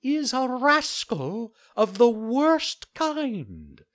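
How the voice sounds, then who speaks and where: A narrator voicing a stern male talking cricket who scolds in a very high, piping voice. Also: very animated, extremely slow, a bit bright, exaggerated voice. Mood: scolding. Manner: scolding, preachy.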